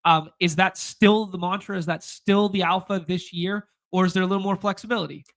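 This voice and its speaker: A man with a head cold sounds nasal and stuffed up as he hosts a casual sports chat. His voice sits high and bright, and he talks in an upbeat, slangy way. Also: steady tone, a little fast. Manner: upbeat, slangy hype talk. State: congested from a cold. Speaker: podcast host.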